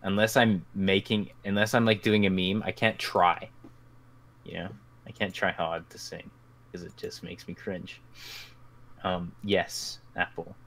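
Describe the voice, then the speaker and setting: A man's voice: low voice, level tone, unhurried, a bit bright. A man hosting a casual podcast, talking over a voice call in a rambling, profane, self-mocking way. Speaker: podcast host.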